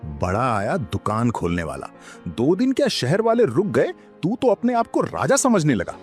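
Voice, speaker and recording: A man's voice: medium pitch, wildly swooping pitch, moderate pace, a little mellow, soft background music. A man voicing a jealous rival village shopkeeper in a scornful, taunting manner. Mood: contemptuous.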